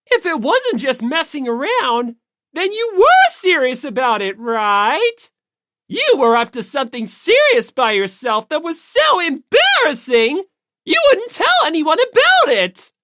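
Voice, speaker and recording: A wicked little bear headmaster, pitched extremely high with wild swoops. The voice is rough, gleeful and taunting, an exaggerated audiobook villain who delights in teasing. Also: female voice, wildly swooping pitch, measured pace, fairly bright tone, dry recording, clean studio sound, heavily acted. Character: cute evil two-toned robotic bear headmaster, gleefully teasing.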